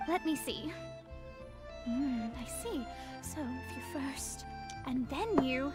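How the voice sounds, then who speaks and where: A young astrologer from a video game, proud yet easily flustered. Her high voice is formal and a little self-important, with music clearly playing behind it. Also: expressive, slow, a little mellow. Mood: self-assured.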